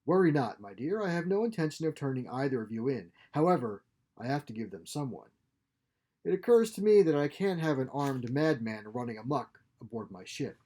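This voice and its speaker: Exiled sci-fi scientist played by a male reader: glib schemer, theatrical, husky, mellow. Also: mid-range voice, big pitch swings, fairly quick. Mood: wry, confident.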